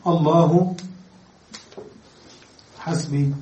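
A man teaching grammar in a class, patient and repetitive, often pausing to check that students follow. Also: fairly high pitch, fairly even intonation, darkish tone, lo-fi sound, a little hiss or hum. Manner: patient, repeats points and checks understanding. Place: lecture room with students. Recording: echoey room, mic far off.

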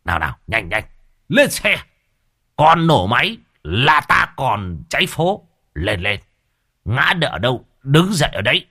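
A man voicing a tipsy, boastful old man who shouts boisterously. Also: medium pitch, very animated, unhurried, voice pushed up, full character voice. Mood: rowdy.

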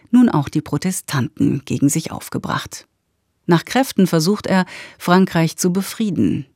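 Mellow female radio announcer, very dry sound. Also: low voice, dry recording. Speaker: series announcer. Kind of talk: scripted radio recap narration.

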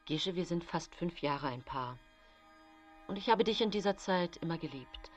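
A woman playing an apologetic man leaving his lover in a radio play. The voice is low, earnest and halting, evasive in tone, with music clearly behind it. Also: animated, dull audio, close to an everyday voice. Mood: apologetic, resolute. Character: evasive, apologetic male lover, a wine merchant. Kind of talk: radio drama dialogue.

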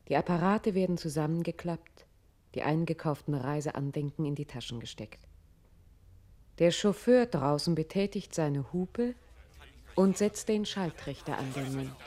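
The storyteller of a radio play. Her voice is deep and soft-edged, and she describes each scene with composed, observant calm. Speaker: narrator. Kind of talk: scripted radio play narration.

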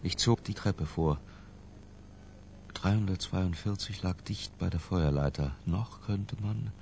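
An actor playing an obsessive, tense middle-aged man who narrates his confession in a deliberate, literary radio drama style. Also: low-pitched, creaky, a little mellow, slight background noise, full character voice. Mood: hushed, obsessive.

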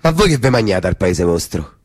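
A man's voice: low-pitched, very animated, normal speed, soft background music. A man playing a crude, teasing Roman tough in stage dialogue, speaking blunt dialect with a Roman accent. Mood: coarse, mocking. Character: crude, mocking, hungry Roman slaughterhouse tough guy.

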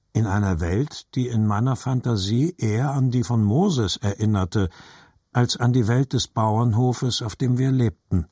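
A man's voice: fairly low pitch, a little mellow, slightly rough, no room sound, clean studio sound. A man narrating literary fiction for an audiobook in a scripted reading style.

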